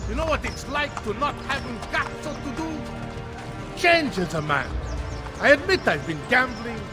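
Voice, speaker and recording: An actor playing a talkative, cynical, debt-ridden Roman senator with an Italian accent, wry and self-mocking in video game dialogue. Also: male voice, very high voice, animated, measured pace, loud music behind the voice. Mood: rueful, rambling.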